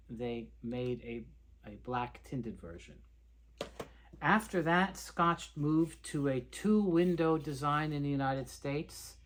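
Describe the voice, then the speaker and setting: A man presenting an informal, unscripted product showcase, explaining things in a halting, hesitant way with repeated words. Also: mid-range voice, a little hiss or hum. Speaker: presenter of reel tape review videos.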